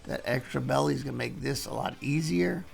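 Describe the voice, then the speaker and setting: A man hoarse from losing his voice, casually talking through a knife review while he demonstrates cuts. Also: mid-range voice, brisk, faint music behind. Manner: relaxed, folksy, talks while demonstrating cuts. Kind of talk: casual hands-on product review.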